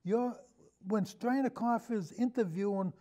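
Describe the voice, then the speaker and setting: A man's voice: high voice, measured pace, dark tone. A man speaking as a podcast guest in a casual film discussion, rambling earnestly and repeating himself as he goes.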